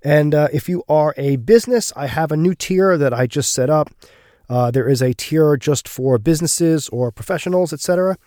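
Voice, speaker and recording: A man hosting a podcast, his voice a little gravelly from being unwell, chatting casually with many fillers. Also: fairly high pitch, normal speed, mellow, dry recording, clean studio sound. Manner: frequent fillers and restarts, friendly. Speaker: podcast host.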